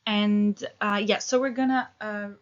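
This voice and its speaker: Slow, hesitant woman teaching English. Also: medium pitch, steady tone, lo-fi sound. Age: 30s. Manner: slow and hesitant, many fillers and restarts. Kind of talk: relaxed spoken English lesson.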